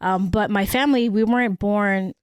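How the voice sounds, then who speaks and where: A woman's voice: medium pitch, normal speed, darkish tone, clear, resonant tone, no room sound. A young adult woman from San Francisco, first language Cebuano, co-hosting a podcast in thoughtful, easygoing casual chat. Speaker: podcast co-host.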